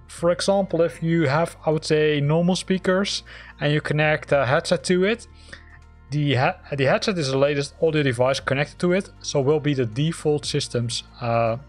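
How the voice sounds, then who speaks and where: A man hosting a how-to tutorial, explaining things step by step in an informal way. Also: on the high side. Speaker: host of a flight simulator tutorial channel. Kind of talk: spoken how-to tutorial over screen capture.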